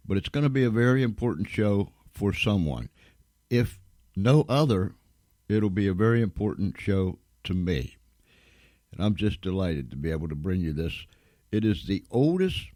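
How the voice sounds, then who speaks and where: Low, slow-talking male radio host. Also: a little mellow, dry recording, studio quality. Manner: folksy, chatty, repeats himself for emphasis. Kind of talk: casual, rambling live radio show introduction.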